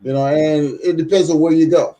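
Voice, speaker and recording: A man's voice: fairly high pitch, steady tone, brisk, warm tone. A man from Trinidad with a mixed Trinidadian and American accent, chatting casually and filling his talk with "you know". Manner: rambling, leans on "you know". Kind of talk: casual chat.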